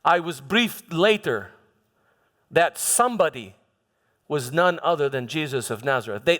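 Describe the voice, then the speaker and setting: A man playing a troubled Roman governor who recounts his past in a halting dramatic monologue, repeating words and pausing for emphasis. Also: fairly high pitch, a bit bright. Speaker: church dramatist performing a monologue. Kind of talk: first-person dramatic monologue in church. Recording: echoey hall with long reverb.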